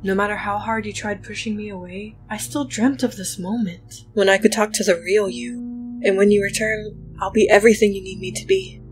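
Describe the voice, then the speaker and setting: A woman's voice: mid-range voice, steady tone, fairly quick, faint music behind, natural voice, poor audio. A woman playing a devoted, wounded but defiant inventor in a dramatic comic dub, speaking earnestly with emotional weight. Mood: tender, sad.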